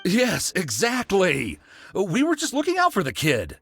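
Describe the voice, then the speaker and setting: Game accomplice, weary and defensive, emphatic, highly expressive fairly high voice. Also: male voice, measured pace, faint music behind, exaggerated voice. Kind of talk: video game voice acting.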